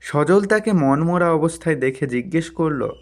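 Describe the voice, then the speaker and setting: A man's voice: fairly high pitch, lively intonation, moderate pace. A man narrating a scripted cartoon story as a storyteller.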